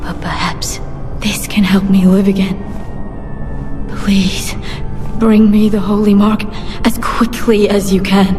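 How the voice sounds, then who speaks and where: An actress playing a gentle, devout, grieving servant of the church in a video game, solemn and measured. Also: female voice, medium pitch, flat delivery, lightly acted. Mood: sorrowful, pleading. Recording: long hall reverb, faint music.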